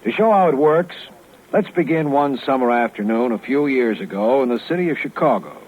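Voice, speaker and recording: An actor playing a retired police lieutenant, narrating a 1950s radio crime case in a formal, measured, matter-of-fact way. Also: male voice, mid-range voice, expressive, close to an everyday voice. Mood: composed, authoritative. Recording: thin, narrowband 1950s radio recording.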